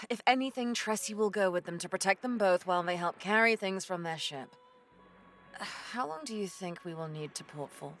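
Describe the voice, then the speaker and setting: A woman's voice: mid-range voice, moderate pace, a bit bright, natural voice. A woman playing a stern, sardonic female pirate captain in an audio drama, commanding and dryly sarcastic. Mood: firm, weary.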